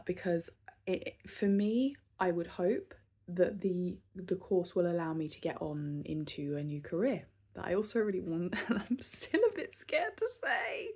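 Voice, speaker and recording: Slow, hesitant woman in her thirties. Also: on the low side, a little mellow, husky. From southern England. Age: 30s. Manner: unscripted and hesitant, with many fillers and restarts; candid and self-reflective. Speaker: vlogger. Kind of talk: casual talking-to-camera vlog.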